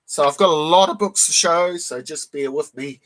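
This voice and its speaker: A man with a slightly high, croaky voice worn rough by a cold hosts a relaxed live stream. He chats loosely with his viewers, greets them by name and stops often to clear his throat. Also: moderate pace. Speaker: live stream host showing comic books. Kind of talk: casual live stream chat.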